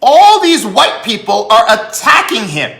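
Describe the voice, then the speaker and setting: Male commentator, high bright voice, deliberate and emphatic, hammering points with repetition. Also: very animated, vocal fry. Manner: emphatic, repeats phrases for effect, rhetorical questions. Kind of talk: opinionated political monologue. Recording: echoey room.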